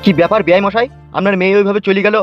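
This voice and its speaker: A brash, pushy cartoon village dad acted out by a man, high-pitched and quick-talking. The recording is dull and lo-fi, with comic dialogue over music. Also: fairly even intonation, close to an everyday voice. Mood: challenging. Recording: dull lo-fi audio with music underneath.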